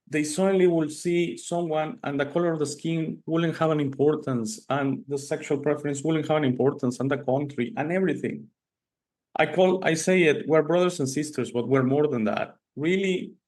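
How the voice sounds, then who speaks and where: A mid-pitched man from Italy hosting an interview. He speaks earnestly, repeating phrases for emphasis and letting his voice jump up on the words that matter. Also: a little mellow. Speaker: show host.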